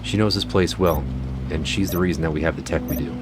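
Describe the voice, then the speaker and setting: An actor playing a calm, weary male doctor on a prison island, in an audio drama. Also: extremely low pitch. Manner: measured, weary. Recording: music under the voice.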